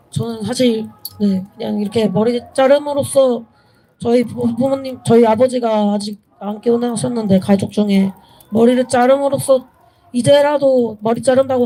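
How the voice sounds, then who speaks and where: Mellow-voiced young woman pleading at a rally. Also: on the high side, low-quality recording. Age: young adult. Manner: earnest, pleading, halting with self-corrections. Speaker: protester giving a speech. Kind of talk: impromptu political rally speech. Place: outdoors at a street protest.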